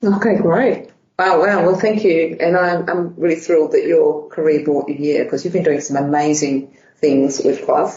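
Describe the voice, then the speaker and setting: A woman's voice: deep voice, steady tone, normal speed, dark tone. A woman hosting a podcast interview, enthusiastic and effusive as she welcomes and questions her guest. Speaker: podcast host. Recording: roomy, slightly dull sound.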